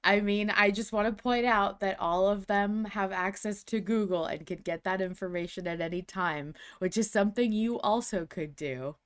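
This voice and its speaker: A woman's voice: mid-range voice, moderate pace, few pauses, clear, resonant tone. A woman co-hosting a podcast, chatting casually in a dry, teasing way. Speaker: podcast co-host. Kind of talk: casual chat.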